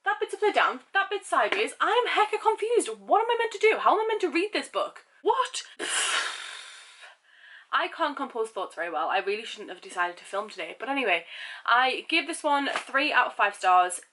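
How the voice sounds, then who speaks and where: A woman's voice: sits high, sing-song, normal speed, pushed and bright. A young woman chatting casually about books she has read lately, sounding nasal and sniffly from a cold, rambling with self-mocking asides. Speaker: book vlogger. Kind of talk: casual book wrap-up chat.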